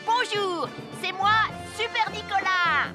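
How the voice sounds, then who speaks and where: High-voiced, boastful cartoon boy. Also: slow, loud music behind the voice. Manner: theatrical, self-important. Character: mischievous, boastful young boy who shows off. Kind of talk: animated children's cartoon dub.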